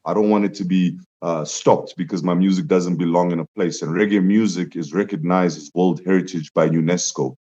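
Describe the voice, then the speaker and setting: A man's voice: sits low, fairly even intonation, a little fast, few pauses, dark tone. A young man, a musician guest, telling stories animatedly in a casual interview, laughing often and jumping his voice up for quotes. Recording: dull lo-fi sound.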